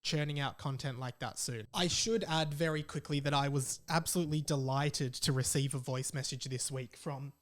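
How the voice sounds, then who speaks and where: A man's voice: fairly high pitch, moderate pace, hardly any pauses. A young adult man with an Australian accent, chatting casually as a solo podcast host in an informal, self-deprecating way.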